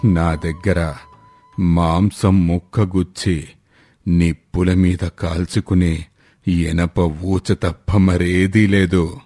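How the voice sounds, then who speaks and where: Slow, low, bossy old hunchback acted by a man. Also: little pitch movement, mellow, faint music behind. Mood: mocking. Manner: scolding, commanding, slow deliberate delivery. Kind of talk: Telugu animated moral story acting.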